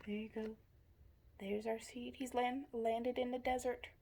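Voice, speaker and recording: A woman's voice: fairly high pitch, little pitch movement, unhurried, creaky, dark tone, a little hiss or hum. A woman commenting on picture book scenes for young children, pointing things out in simple short phrases. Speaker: story reader commenting on pictures.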